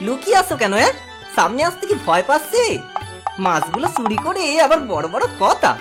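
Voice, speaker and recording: Woman as a high-voiced, cheeky village thief. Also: huge pitch swings, brisk. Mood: defiant. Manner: rustic, blustering, wheedling. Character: cheeky, wheedling poor village fish thief, male. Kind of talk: Bengali comic horror cartoon voice acting. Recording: loud cartoon music behind. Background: loud cartoon music.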